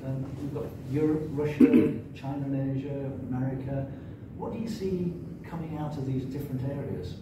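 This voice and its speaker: Older interviewer, echoey and distant. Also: male voice, mid-range voice, moderate pace, hardly any pauses. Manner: relaxed, hedging with "sort of" and "um". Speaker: interviewer, publisher. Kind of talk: stage interview. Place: large room at a museum event. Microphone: far room mic. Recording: echoey hall from far off, noisy.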